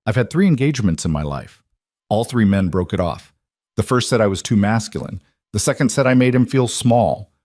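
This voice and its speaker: A frank, plainspoken frontier seamstress in her late thirties, read aloud by a man. Her letter is spoken in a low, steady, blunt voice. The recording is dry and close, with no room sound. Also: fairly quick, no room sound.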